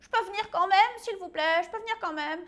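A woman's voice: extremely high pitch, fairly bright tone, voice pushed up. A woman voicing a tiny chick who begs to tag along with the older chickens. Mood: pleading. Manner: begging, rapid. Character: tiny pleading chick, eager and insistent.

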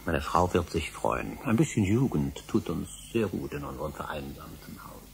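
A man's voice: very deep voice, expressive, normal speed, creaky, background noise, full character voice. An actor playing an obsessive middle-aged male scholar in a German radio drama, speaking emphatically and rhetorically. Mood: courteous, confiding. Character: obsessive older male scholar, insistent and indignant.

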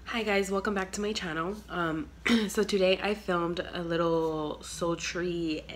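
A woman's voice: lowish voice, steady tone, a little slow, faint music behind. A young woman with a stuffy, congested nose chatting through a makeup tutorial, filler-heavy and trailing off into near whispers, filmed on a phone in a small bedroom. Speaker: beauty vlogger. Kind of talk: casual makeup tutorial chat. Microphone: phone camera at arm's length.

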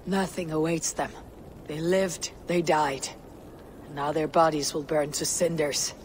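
A man playing a stoic, steadfast Viking warrior leader in a video game, speaking in a measured, solemn way. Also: fairly high pitch, little pitch movement, a little hiss or hum, lightly acted. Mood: calm, grave. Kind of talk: dramatic video game cutscene acting.

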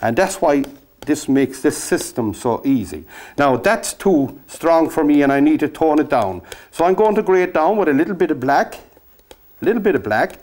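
An older man with a Cork Irish accent leads a painting lesson in a studio, talking through each stroke in a chatty, encouraging way. His voice sits a little high for a man and swings widely in pitch. He is picked up close on a lapel mic. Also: lively intonation, moderate pace, a little mellow. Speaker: painting instructor. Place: studio with easel and backdrop.

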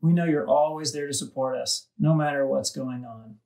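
Gravelly man reading, off the mic. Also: fairly high pitch, steady tone, normal speed, a little mellow, recorded from a distance, low-quality recording. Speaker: kids' devotional reader. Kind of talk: scripted devotional reading and prayer for children.

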